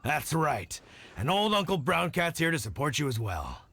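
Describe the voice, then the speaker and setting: A man's voice: fairly high pitch, very animated, moderate pace, gravelly, fairly bright tone, a little hiss or hum, heavily acted. A man voicing a kindly middle-aged hunter dad in a video game, folksy, reflective and supportive. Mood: warm, encouraging.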